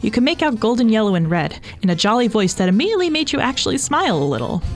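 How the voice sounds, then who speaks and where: A woman narrating fan fiction as an expressive scripted audio story. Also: a little high, fast. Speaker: podfic narrator. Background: faint music.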